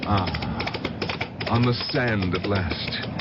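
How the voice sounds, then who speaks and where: Deep, slow, cold-hearted man confessing. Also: animated, full character voice. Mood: savoring, obsessive. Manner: halting, deliberate, genteel storyteller. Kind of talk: old-time radio drama acting. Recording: dull, hissy old radio recording.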